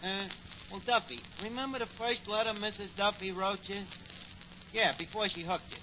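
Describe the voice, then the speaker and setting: Man playing high-pitched, scheming New York tavern manager. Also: normal speed, lightly acted. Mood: wisecracking. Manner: slangy, ungrammatical, mock-grand. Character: scheming, wisecracking male tavern manager, malaprop-prone. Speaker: sitcom lead. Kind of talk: 1940s radio comedy acting. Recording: noisy, dull 1940s radio recording.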